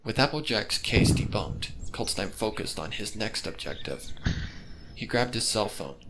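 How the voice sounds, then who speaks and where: A man reading scripted fan fiction as the narrator of a dark mystery. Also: lowish voice, animated, measured pace, gravelly. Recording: background music under the voice.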